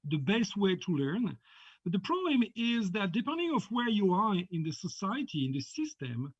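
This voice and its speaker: A middle-aged man with a French accent gives a halting online lecture over a video call, often repeating words. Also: high-pitched, no echo. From France. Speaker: guest lecturer. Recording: dull, muffled video-call audio.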